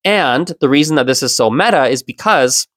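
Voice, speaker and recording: A man in his early thirties talks through his own solo podcast, explaining his thoughts in a reflective, unhurried manner. His voice sits at an ordinary middle pitch. The recording is dry and close, with no room echo. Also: expressive. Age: 30s. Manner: reflective, self-explaining, occasional soft laugh. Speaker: podcast host.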